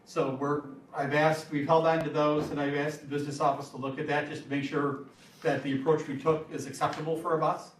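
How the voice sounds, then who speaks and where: A man answering questions at a school board meeting, casual and halting. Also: a little high, little pitch movement. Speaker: school superintendent. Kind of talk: explaining at a meeting. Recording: distant echoey room mic, faint noise.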